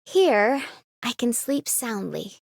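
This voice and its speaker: A woman's voice: a little high, very animated, takes it slowly, creaky, noisy background, full character voice. A woman voicing a blunt, simple-hearted, plain-spoken female video game character. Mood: candid, content.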